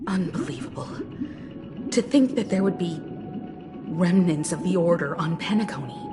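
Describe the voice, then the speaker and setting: A woman's voice: fairly low pitch, expressive, normal speed, lightly acted. A woman voicing a poised, firm captain. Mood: incredulous. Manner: composed, cool, firm. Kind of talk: video game voice acting. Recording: game cutscene with loud score. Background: orchestral music.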